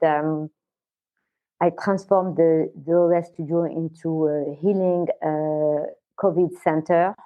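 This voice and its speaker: Older lady from morocco, low voice, stops and starts with lots of uhs. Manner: halting, many "uh" fillers. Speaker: guest, wellness center founder. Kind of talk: casual interview talk. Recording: tinny phone-like line.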